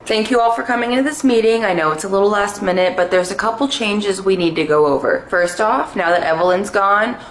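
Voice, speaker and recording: A woman voicing a bossy female salon owner who talks in a brisk, dismissive way. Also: echoey room, a little hiss or hum, lightly acted. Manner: brisk, lower-pitched and dismissive.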